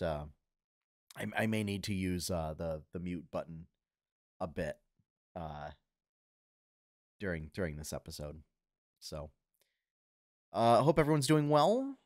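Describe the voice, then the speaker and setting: A man in his thirties hosts a casual gaming news podcast from his home, speaking into a headset. His fairly low voice is a little husky from a cold, and he stumbles along with plenty of fillers and restarts. The sound is dry and close. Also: expressive, a little mellow, no echo. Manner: halting, many fillers and restarts. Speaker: podcast host. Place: home room full of collectibles.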